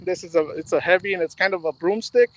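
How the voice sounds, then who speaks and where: A laid-back man talks about his fishing gear from a dark riverbank, ending sentences with "man". His voice sits fairly high for a man. He sounds relaxed and easygoing, in casual live-stream chat. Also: quick pace. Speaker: guest angler. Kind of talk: casual chat on a video call. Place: riverbank at night, outdoors.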